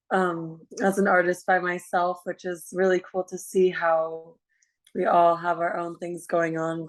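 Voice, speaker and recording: A woman in her twenties with a low, raspy voice, chatting eagerly as a musician guest on a video call, full of likes and restarts. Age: 20s. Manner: enthusiastic, many likes and restarts. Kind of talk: casual interview chat.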